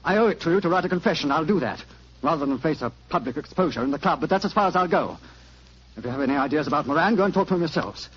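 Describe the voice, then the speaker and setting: An actor playing a shifty, cornered card cheat in a 1940s radio drama, defensive and agitated. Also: male voice, a little high, lively intonation, racing, a bit bright, slightly rough. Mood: grudging. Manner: agitated, defiant. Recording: dull 1940s radio broadcast recording.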